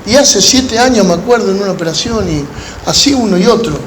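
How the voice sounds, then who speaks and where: A man with an Argentine Spanish accent preaching as a pastor, telling stories in measured, deliberate phrases with pauses. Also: high voice, expressive. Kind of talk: sermon to a gathering of pastors, with storytelling. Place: large room at a church conference. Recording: echoey hall with background noise.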